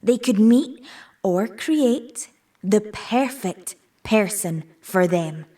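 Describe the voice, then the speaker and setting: A persuasive tech businesswoman delivers a scripted pitch in an audio play. Her pace is slow and measured, at a middling pitch, and she sounds set back from the microphone. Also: animated, warm tone, distant mic. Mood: confident, persuasive. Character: married tech-industry woman pitching virtual reality to an audience. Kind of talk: scripted audio drama monologue, public presentation.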